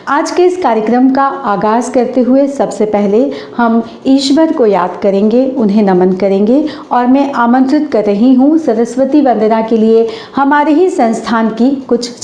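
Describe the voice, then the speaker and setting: A hoarse woman hosts her channel and recites a stage anchoring script in a formal, declamatory way, slowing down for poetic couplets. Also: a little high, dark tone, echoey room.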